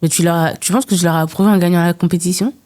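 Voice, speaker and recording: A woman's voice: on the low side, fairly even intonation, very fast, darkish tone. A woman hosting a podcast, speaking in an informal, conversational interview style. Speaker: podcast host.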